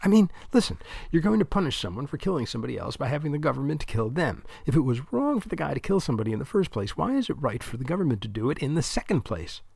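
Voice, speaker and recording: A sharp, impatient teenage girl debate captain, put on by a man in a raised, lively voice. She needles and lectures with quick comebacks, her voice a touch gravelly underneath. The recording is close and dry. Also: fairly high pitch, no echo, pitched above the performer's own voice, exaggerated voice. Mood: lecturing.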